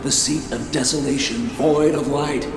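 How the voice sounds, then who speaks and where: A man's voice: medium pitch, steady tone, slow pace. An actor playing a mocking, theatrical villain in a video game, grandiose, poetic and taunting, drawing out his words. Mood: ominous, taunting. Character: mocking, theatrical villain haunting a cursed realm. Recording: echoing voice over noisy ambience.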